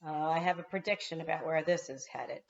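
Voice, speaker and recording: Lowish-voiced woman reading to kindergartners. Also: fairly even intonation, brisk, muffled recording, a little hiss or hum. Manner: explanatory, addressing young children. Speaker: kindergarten teacher. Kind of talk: storybook read-aloud with asides to children.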